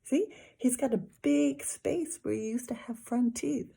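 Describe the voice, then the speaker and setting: A woman's voice: high voice, unhurried, creaky, dark tone, husky, voice pushed up, exaggerated voice. A woman voicing an easygoing young boy who talks in an offhand, matter-of-fact way.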